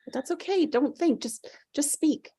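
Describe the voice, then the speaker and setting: A woman playing a gently pleading character who consoles a grieving mother, soft and earnest, in a dramatic table read. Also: sits high, lively intonation, normal speed, no echo. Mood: coaxing.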